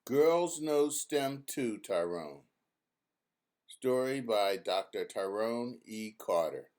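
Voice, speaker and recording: A man reading his own children's book aloud as an expressive, emphatic storyteller. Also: fairly low pitch, takes it slowly.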